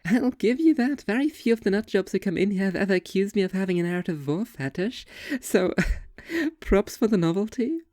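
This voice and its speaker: A woman's voice: mid-range voice, very animated, a little fast, mellow, no echo, studio quality, lightly acted. A young woman playing a blunt, teasing waitress in audio fiction, with sarcastic, slangy delivery. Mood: amused. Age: young adult.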